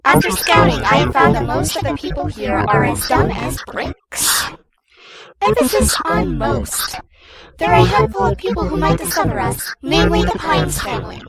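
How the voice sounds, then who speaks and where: A faceless monster overlord, acted out by an amateur speaks in a gravelly, whispery voice that sits high and swings widely. The manner is commanding and patronising, like a fan drama villain, with loud music over it. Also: sing-song, normal speed, long pauses, heavily acted. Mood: grave, stern. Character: faceless Slender Man, telepathic commanding monster leader.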